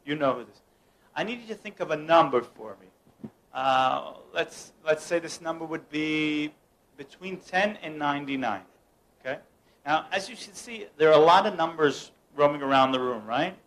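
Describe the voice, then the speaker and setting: Young man, mentalist act, playful and teasing, somewhat high-pitched, faint background noise. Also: animated, normal speed, fairly bright tone, low-quality recording. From United States. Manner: playful, teasing, directs audience. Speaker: mentalist performer. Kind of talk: live stage mind-reading act. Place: room at a home gathering.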